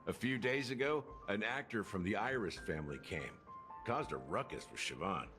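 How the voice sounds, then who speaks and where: An actor playing a suave, philosophical older gentleman in a video game, courtly and theatrical, with measured phrasing. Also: on the low side, lively intonation. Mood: amused, calm. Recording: game music underneath.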